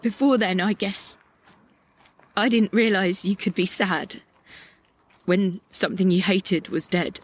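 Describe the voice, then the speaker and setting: A woman's voice: mid-range voice, steady tone. A woman playing a melancholic, angry young idealist in a poetic audio drama monologue. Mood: wistful. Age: young adult. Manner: lyrical, reflective, deliberate. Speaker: actor in an audio play.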